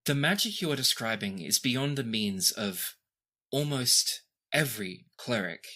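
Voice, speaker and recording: Man acting a dutiful, formal royal guard, bright. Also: medium pitch, lively intonation, measured pace. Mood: formal, factual. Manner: formal, deferential. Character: dutiful male royal guard adviser, formal and matter-of-fact.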